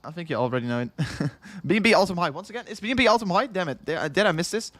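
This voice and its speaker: A man's voice: high-pitched, expressive, rapid-fire, fairly bright tone, dry recording. A young Dutch man with a Dutch accent, hosting a long crypto livestream in casual chat, rambling and repeating himself, tired after hours on air. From Netherlands. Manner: rambling, repeats himself, chuckles often, reads chat aloud.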